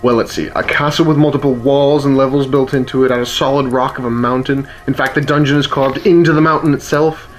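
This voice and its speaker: A man acting a wry, world-weary, gruffly protective rebel in a fantasy radio play, with a teasing, matter-of-fact manner. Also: mid-range voice, fairly even intonation, fairly quick, hardly any pauses, lightly acted. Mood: sardonic warning. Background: music under the voice.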